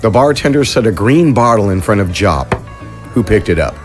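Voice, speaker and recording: Guy narrating a sci-fi audio drama, lowish voice, faint music underneath. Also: animated, normal speed.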